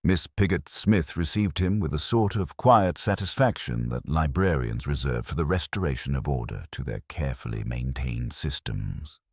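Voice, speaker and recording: A man reading a detective mystery as scripted narration, in a measured, formal storytelling manner. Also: a bass voice, dry recording, clean studio sound.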